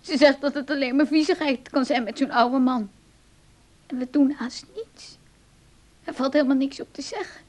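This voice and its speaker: Radio drama: actress as simple-hearted niece, high voice, aggrieved, artless, stubbornly loyal. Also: female voice, normal speed, close to an everyday voice. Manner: plaintive, artless. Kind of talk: naturalistic radio drama acting.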